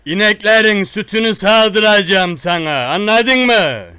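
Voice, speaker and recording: Prank-call menace: man playing a boastful country tough guy, high bright voice, unhurried threats. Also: clean tone, no room sound, studio quality. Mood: menacing. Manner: slow drawn-out threats, repetitive. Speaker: prank caller. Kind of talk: one-sided prank call.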